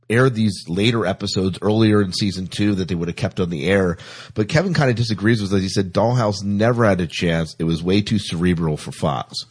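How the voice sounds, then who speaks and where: Deep, husky-voiced male podcast host. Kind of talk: casual chat.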